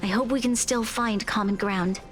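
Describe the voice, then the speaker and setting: A woman playing a composed, earnest young ruler in a video game, speaking in a formal, measured, resolute way. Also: mid-range voice, fairly even intonation, faint music behind, close to an everyday voice. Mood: earnest, diplomatic.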